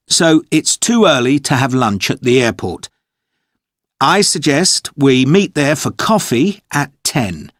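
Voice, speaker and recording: A man playing an organised tour organiser briefing his group in an acted monologue, methodical and clearly enunciated. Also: mid-range voice, fairly bright tone, no room sound, studio quality, heavily acted.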